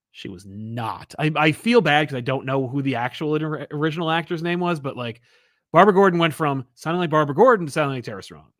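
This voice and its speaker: A man's voice: a little high, no echo, clean studio sound. A young man co-hosting a comic book review show, chatting casually with enthusiasm and frequent laughs. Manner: enthusiastic, laughs often, many fillers. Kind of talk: casual podcast chat.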